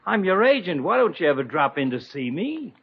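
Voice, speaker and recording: An actor playing a smooth-talking, shady talent agent in 1950s radio comedy, cajoling and exaggerated. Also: male voice, sits high, big pitch swings, brisk, heavily acted. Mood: wheedling. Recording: dull, muffled vintage 1950s radio recording.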